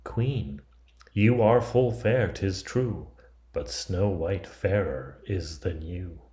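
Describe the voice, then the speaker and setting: A man's voice: deep voice, takes it slowly, dark tone. A man reading the part of a solemn, truthful magic looking-glass in a fairy tale, with formal pronouncements. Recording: roomy, echoing sound.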